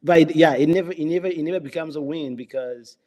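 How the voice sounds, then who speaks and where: A man's voice: on the high side, dark tone. A man who speaks English as a second language, a police officer telling his experiences in a conversational interview, often restarting and filling with "you know". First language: not English. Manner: halting, many restarts and "you know" fillers.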